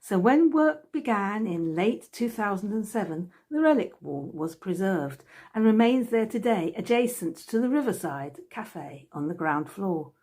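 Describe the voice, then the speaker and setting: A woman reads prose aloud as an author would from their own book. Her delivery is measured and story-like, with pitch that moves in a lively way. The voice has a husky, less polished grain at a medium height. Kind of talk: scripted narration, reading aloud.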